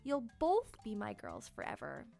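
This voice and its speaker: A woman voicing a gentle, reassuring, affectionate mother in a children's storybook. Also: mid-range voice, huge pitch swings, measured pace, gravelly, dry recording, faint music behind, heavily acted. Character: gentle, tired, reassuring mother of two girls.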